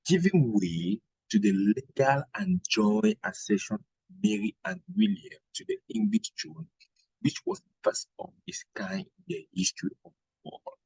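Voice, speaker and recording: Guy teacher, kinda high gravelly voice, explaining exam answers step by step, real dry close sound. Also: very animated, darkish tone, no room sound, lo-fi sound. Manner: didactic, deliberate, checks with "right?" and rhetorical questions. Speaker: history teacher preparing exam candidates. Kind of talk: instructional exam-prep lecture.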